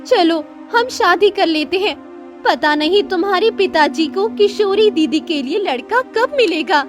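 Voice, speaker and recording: A woman's voice: extremely high pitch, expressive, a little slow, faint music behind. A woman voicing a young woman in love, eager to marry, with a sweet, pleading manner in cartoon dialogue. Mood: impatient.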